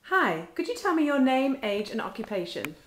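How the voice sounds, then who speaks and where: Brisk woman asking audition questions. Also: sits high, sing-song, a bit bright, slight background noise. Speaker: interviewer. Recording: echoey room.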